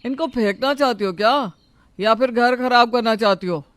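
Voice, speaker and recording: A man's voice: very high voice, normal speed, fairly bright tone, no room sound. A man playing a defensive, argumentative young husband in a moral story, indignant and complaining. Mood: irritated.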